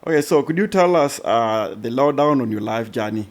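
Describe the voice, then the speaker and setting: A man's voice: medium pitch, expressive, normal speed. A man hosting an interview, asking questions with lots of short "mm" and "okay" replies. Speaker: podcast host. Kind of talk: casual interview.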